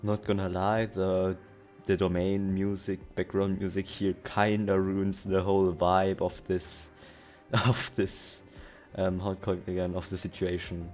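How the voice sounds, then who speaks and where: A man's voice: sits low, little pitch movement, measured pace, a little mellow. A young man giving casual gameplay commentary in an offhand, slangy way. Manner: offhand, slangy, mutters short reactions. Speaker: game streamer. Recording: game music behind the voice.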